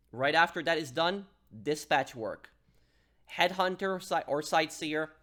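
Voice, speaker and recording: Higher-pitched man narrating a gaming guide. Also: pushed and bright. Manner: instructional, step-by-step. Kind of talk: casual gameplay tutorial narration.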